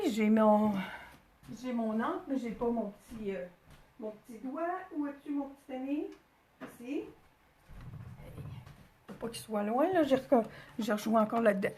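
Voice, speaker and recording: A woman's voice: on the high side, a little hiss or hum. A woman with a Quebec French accent hosting a craft tutorial, talking informally step by step and thinking aloud as she goes. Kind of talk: casual step-by-step demonstration.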